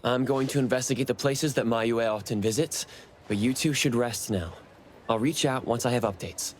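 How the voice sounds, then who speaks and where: An actor playing a calm, helpful investigator in a video game cutscene, businesslike and reassuring. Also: male voice, mid-range voice, normal speed, a little hiss or hum, lightly acted. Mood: composed, helpful. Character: calm, helpful male investigator hunting anomalies. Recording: background music under the voice.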